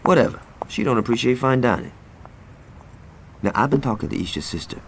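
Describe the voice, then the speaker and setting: An actor playing a young, foul-mouthed American street gang lieutenant in a game cutscene, swaggering and wry. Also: male voice, medium pitch, expressive, brisk, a little hiss or hum, natural voice. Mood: gossipy, amused. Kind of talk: video game cutscene acting.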